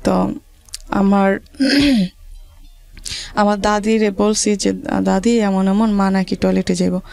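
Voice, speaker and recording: A woman with a rural Bangladeshi accent, telling her life story to an interviewer in halting, repetitive dialect. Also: mid-range voice, little pitch movement, creaky, darkish tone, dry recording, faint music behind.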